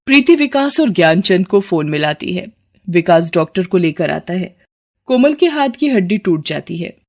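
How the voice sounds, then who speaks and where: A woman's voice: lowish voice, big pitch swings, normal speed, a little mellow. A woman storyteller reading a scripted family moral tale as narrator.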